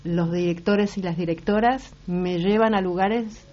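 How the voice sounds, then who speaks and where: A woman's voice: fairly low pitch, steady tone, measured pace, warm tone. A middle-aged woman from Uruguay with a Rioplatense accent, an actress and singer, answering interview questions thoughtfully and often restarting her sentences. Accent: Rioplatense Spanish. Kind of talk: casual interview talk. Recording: slightly muffled broadcast audio.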